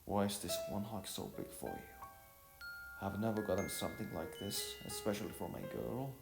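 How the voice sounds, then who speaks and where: A man reads the part of a caring, curious story girl, soft-spoken and earnest. The delivery is halting, in a low register with a roomy echo and music underneath. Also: sing-song, gravelly, exaggerated voice. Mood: earnest, puzzled.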